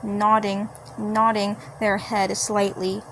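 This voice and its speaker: A woman narrating an amateur fan story in a halting way, often repeating phrases. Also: mid-range voice, level tone, fairly bright tone, slight background noise, low-quality recording.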